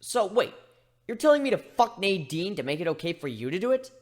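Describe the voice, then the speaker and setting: A man acts out an insecure male griffin from a dramatic fantasy story reading. The voice is high and swings widely. He is sarcastic, easily exasperated and openly emotional. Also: big pitch swings, a little fast. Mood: flustered.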